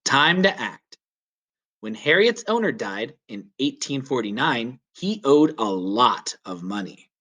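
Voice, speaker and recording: A man reading a children's story aloud as a teacher would, with clear, deliberate, emphatic phrasing. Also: mid-range voice, sing-song, bright, forceful tone. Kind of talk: children's read-aloud narration.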